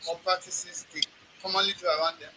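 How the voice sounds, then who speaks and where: A live stream host asking a guest brisk follow-up questions over a video call. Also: mid-range voice, fairly even intonation, sharp tone, slight background noise, rough recording. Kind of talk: interview question.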